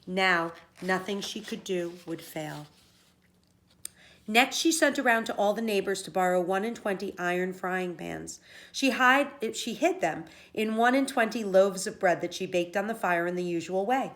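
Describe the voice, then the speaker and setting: A woman's voice: mid-range voice, moderate pace, fairly bright tone. A woman teacher reading a story aloud to children, expressively and with explanation.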